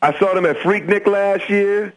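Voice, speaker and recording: An actor playing an eager hip-hop fan calling a game radio station, talking in casual slang. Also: male voice, high voice, normal speed, close to an everyday voice, low-quality recording. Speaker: radio request caller.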